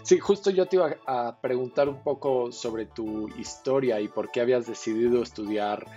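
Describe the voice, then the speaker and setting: A Mexican man hosts a casual podcast, teasing his guest in a light, relaxed way. The sound is slightly dull, with faint background music. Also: mid-range voice, moderate pace. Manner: joking, relaxed. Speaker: podcast host. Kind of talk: casual interview talk.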